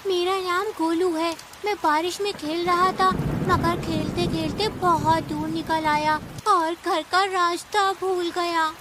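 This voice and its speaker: A woman voicing a small, innocent young male goat in an animated fable, childlike and plaintive. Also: very high-pitched, takes it slowly, hardly any pauses, noisy background.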